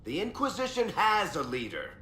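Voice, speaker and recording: An actor playing a defensive, sarcastic rogue in a video game, speaking heatedly. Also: male voice, sits high, huge pitch swings, normal speed, pushed and bright, faint music behind, heavily acted. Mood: exasperated. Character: defensive, indignant roguish liar protecting a friend. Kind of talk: video game character acting. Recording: roomy echo, faint noise.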